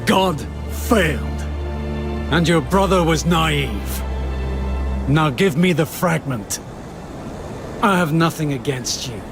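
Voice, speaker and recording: An actor playing a stern, commanding vampire in a dark fantasy game, with a grave, imperious way of speaking. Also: male voice, fairly high pitch, expressive, slow, long pauses, over music. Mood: cold, commanding. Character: stern, commanding male vampire, godless and solemn. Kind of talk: dramatic fantasy game acting.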